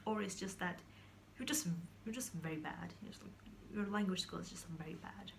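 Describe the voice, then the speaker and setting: A woman's voice: mid-range voice, moderate pace, gravelly, a little hiss or hum. A young woman from Kazakhstan talking to her viewers in a casual vlog, rambling, restarting sentences and sometimes dropping to a whisper. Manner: rambling, searching for words, many fillers and restarts; drops into whispers at times. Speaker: vlogger. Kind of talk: casual talk to camera.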